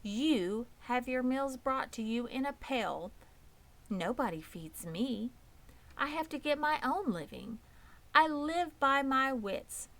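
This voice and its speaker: A woman's voice: sits high, lively intonation, slow pace, fairly bright tone, voice pushed up, exaggerated voice. A woman voicing a poised, pleasant and proud female spider in a children's storybook, composed, precise and matter-of-fact. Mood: proud, defensive. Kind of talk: children's storybook read aloud.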